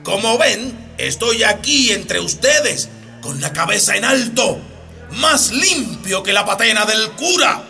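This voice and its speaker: A crooked blustering politician in a radio play, high creaky voice, slow oily speechifying. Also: male voice, very animated, bright, forceful tone, soft background music, heavily acted. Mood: defiant. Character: brazen corrupt male candidate, shady blustering demagogue. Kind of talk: radio drama campaign speech.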